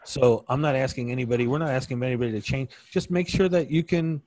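Man from New York City, plain-spoken co-host, trails off, mid pitch, dry recording. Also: fast talker, a little mellow, no room sound. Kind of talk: casual chat.

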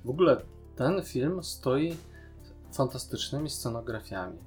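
A man's voice: medium pitch, animated, a little slow, darkish tone, faint music behind. A man, co-hosting a podcast and discussing a series casually, in an opinionated, questioning manner. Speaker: podcast co-host.

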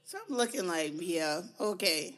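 Brief: hoarse young woman, podcast host, low-pitched for a woman, casual storyteller. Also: animated, normal speed, a bit bright, a little hiss or hum. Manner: laughs while telling stories. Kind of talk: casual chat.

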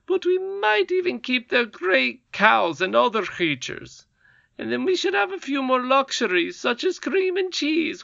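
Brief: a high, swooping, greedy goblin queen, read by a woman, storybook villain, dull dry recording. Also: vocal fry, pushed and bright, no room sound, heavily acted. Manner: shrill, sweeping, imperious. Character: haughty scheming goblin queen, greedy and cruel.

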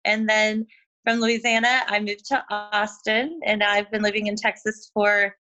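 A woman in her forties from Oklahoma, chatting casually as a guest over a video call, full of "like" fillers and quick laughs. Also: medium pitch, flat delivery, sharp tone, highs cut off. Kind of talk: casual chat.

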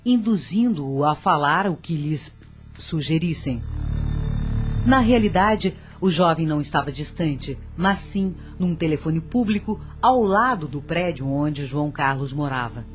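Female narrator for a radio soap, low register, storytelling over soft music. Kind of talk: scripted radio drama narration.

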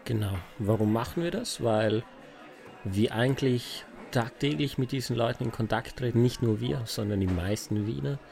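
Gravelly South Tyrolean man, casual podcast co-host. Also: mid-range voice, normal speed, darkish tone. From South Tyrol, Italy.

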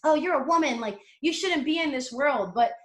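A woman's voice: sits high, animated, moderate pace. Brash, confident woman in an echoey room. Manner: brash, confident, swears freely. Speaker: interview guest, motivational coach. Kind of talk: casual podcast interview.

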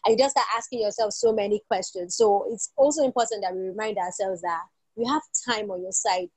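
A woman's voice: mid-range voice, a little fast, darkish tone, slightly rough, no echo, low-quality recording. A young woman, hosting a podcast and talking conversationally. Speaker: podcast host. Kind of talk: conversational interview.

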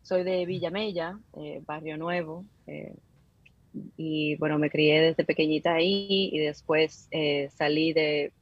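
Low-voiced Dominican woman, husky. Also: steady tone, slow, no echo, lo-fi sound. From Dominican Republic. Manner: hesitant, many fillers and restarts. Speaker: guest. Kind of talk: casual interview.

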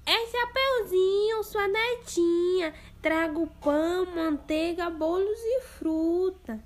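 Woman voicing a tiny storybook girl, innocent, squeaky, very high, slow and careful.

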